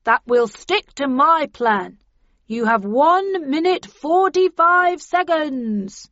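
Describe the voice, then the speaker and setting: A woman voicing a menacing militant attacker who calls loudly and slowly for surrender, as in an audiobook. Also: high-pitched, animated, dull audio, voice pushed up, full character voice. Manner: loud, drawn-out calling.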